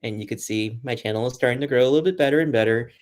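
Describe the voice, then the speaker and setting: An American man from Boston talks as a guest sports journalist on a casual livestream. His voice sits at an average pitch. He is chatty and self-deprecating as he addresses the viewers. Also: rapid-fire. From Boston, United States.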